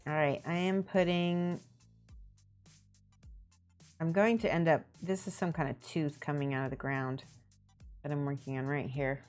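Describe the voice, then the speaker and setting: A woman's voice: low-pitched, measured pace, pauses often, faint music behind. A woman with a Midwestern accent, chatting casually on a live stream while she paints miniatures, talking her steps through aloud. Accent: Midwestern American. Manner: relaxed, thinking aloud, greeting chat viewers.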